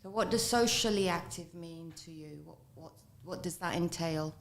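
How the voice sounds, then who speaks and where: A woman hosting a morning radio talk show. She speaks in a measured way, affirms her guest, and repeats the guest's points back. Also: on the low side. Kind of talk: radio interview.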